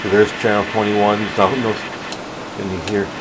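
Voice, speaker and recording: Low-voiced man, halting demo, noisy room. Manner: halting, rambling, self-correcting. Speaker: hobbyist collector reviewing a radio. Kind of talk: casual unscripted product demo. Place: room at home, indoors. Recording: noisy room with steady background hum.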